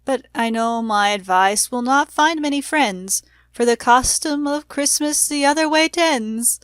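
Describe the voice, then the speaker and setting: Storybook part: strict old physician, voiced by a woman reader, solemn, measured, admonishing, very little room sound. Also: sits high, dry recording, pitched above the performer's own voice. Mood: stern, advising. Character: solemn old male doctor, strict about sweets. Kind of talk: storybook verse read aloud.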